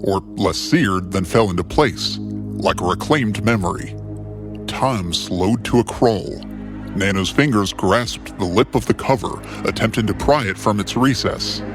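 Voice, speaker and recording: A man narrating a scripted story in a measured, deliberate, dramatic way. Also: lowish voice, over music.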